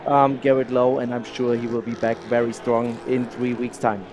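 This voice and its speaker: A man's voice: medium pitch, little pitch movement, normal speed, a crowd in the background. A man whose first language is German, speaking English as an eager co-commentator analyzing a stock car race. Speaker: co-commentator, racing expert. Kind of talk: live motorsport commentary. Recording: race car noise behind. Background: racing engines.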